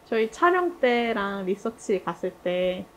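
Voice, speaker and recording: A woman leading a casual group discussion, chatty and telling anecdotes in an animated way. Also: mid-range voice, unhurried. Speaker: interviewer and project member leading the discussion.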